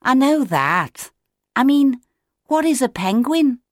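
Storybook penguin chick, young male, played by a woman: squeaky and high, eager, insistent, loud. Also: big pitch swings, slow pace, dry recording, clean studio sound, heavily acted. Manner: yelling, insistent questioning.

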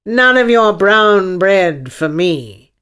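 A woman voicing a haughty, dreamy male unicorn in a storybook, speaking in a drawn-out, imperious way. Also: mid-range voice, expressive, a bit bright, smooth, pitched above the performer's own voice. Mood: demanding.

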